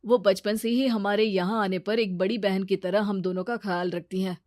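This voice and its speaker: A woman voicing a calm young man who reasons persuasively and reassures his friends in a cartoon story. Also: mid-range voice, normal speed, dry recording, clean studio sound, lightly acted. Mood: reassuring. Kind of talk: animated cartoon story acting.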